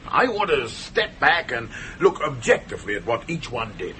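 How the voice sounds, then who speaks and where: Pushy jovial film producer bore, sharp bright voice, radio play with some hiss. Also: male voice, medium pitch, very animated, normal speed. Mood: enthusiastic. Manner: overbearing, jovial, wheedling. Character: brash, pushy middle-aged film producer, hearty bore. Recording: noisy radio drama recording.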